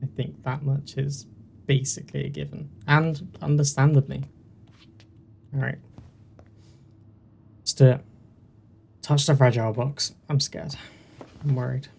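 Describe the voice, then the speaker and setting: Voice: mid-range voice, fairly even intonation, unhurried, creaky, long pauses, a little mellow, husky. A video game host chatting casually through a playthrough in a rambling, self-deprecating way, on a poor-quality home mic. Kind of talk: casual commentary while playing a game.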